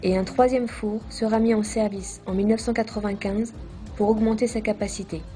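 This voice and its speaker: A woman delivers scripted documentary narration in a measured, formal way. Her timbre is dark and relaxed, at a middle pitch for a female voice. Faint music plays behind her.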